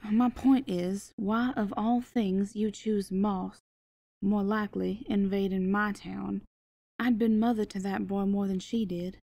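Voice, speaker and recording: A woman's voice: mid-range voice, steady tone, unhurried, dark tone, dry recording. A woman playing a sardonic, exasperated small-town mother in an audio drama, blunt and sarcastic. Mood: accusing.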